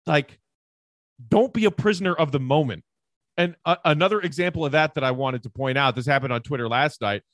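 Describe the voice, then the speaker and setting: Dry-sounding, higher-voiced man hosting sports talk. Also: fairly quick, a bit bright, no room sound. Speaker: sports podcast host. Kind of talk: conversational sports commentary.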